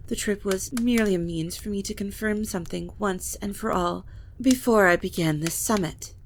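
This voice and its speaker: A woman playing a composed, regal vampire matriarch, speaking formally and with measured authority in an audio drama. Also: medium pitch, a little hiss or hum, natural voice. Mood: grave. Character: stately, composed vampire matriarch presiding over her family. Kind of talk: dramatic audio roleplay acting.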